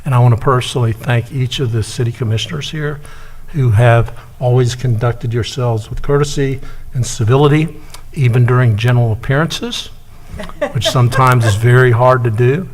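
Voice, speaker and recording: A man serving as mayor, chairing a city council meeting and giving formal remarks in a measured way, with fillers and pauses. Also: medium pitch.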